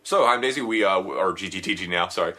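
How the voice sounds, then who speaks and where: A man's voice: low voice, fast talker, bright, forceful tone. A man hosting a podcast, chatting in an upbeat, joking, rambling way about a web comic. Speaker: podcast host. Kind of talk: casual podcast chat.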